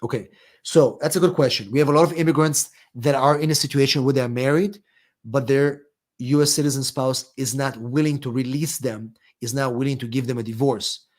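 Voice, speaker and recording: A middle-aged man with an Israeli accent answers viewers' questions on a live stream. He speaks in a relaxed, conversational way, often pausing with fillers and restarting sentences. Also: mid-range voice. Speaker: immigration attorney, live stream host.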